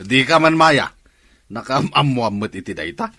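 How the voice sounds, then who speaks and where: A man with an Ilocano accent playing a gruff, disapproving middle-aged father in a radio drama. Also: medium pitch, lively intonation, a little slow, pushed and bright, no echo, exaggerated voice. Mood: scornful.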